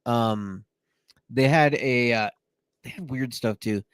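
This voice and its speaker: A man with a mid-range voice co-hosting a podcast, talking at length in a loose storytelling way. He fills pauses freely and circles back as he goes, recorded dry and close. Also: medium pitch, smooth, no room sound. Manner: talkative storyteller, many fillers. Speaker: podcast co-host. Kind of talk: casual podcast chat.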